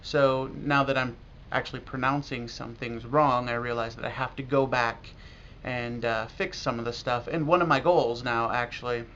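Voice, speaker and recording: An American man talking casually and reflectively in a video blog as a language learner, halting now and then with pauses. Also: medium pitch, fairly even intonation, a bit bright. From United States. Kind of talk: casual talk to camera about learning. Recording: dull lo-fi audio with clear noise.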